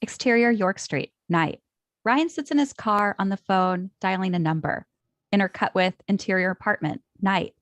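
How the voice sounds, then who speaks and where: A woman's voice: medium pitch, moderate pace, dry recording. A woman reading scripted stage directions at a comedy table read.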